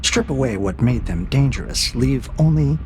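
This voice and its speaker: An actor playing a cold, calculating interrogator AI in an audio drama, with a measured, probing and quietly menacing manner. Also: male voice, mid-range voice, expressive, background noise, close to an everyday voice. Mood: detached, menacing. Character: cold, calculating male interrogator AI breaking a prisoner. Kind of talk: scripted audio drama acting.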